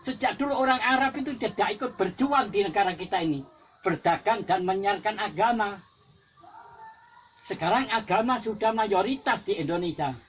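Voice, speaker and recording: A man's voice: high-pitched, moderate pace, slight background noise. An older Javanese man, a war veteran, giving an impassioned patriotic speech with repetitive exhortations that rise to emphatic peaks. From Java. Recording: dull lo-fi recording with faint music.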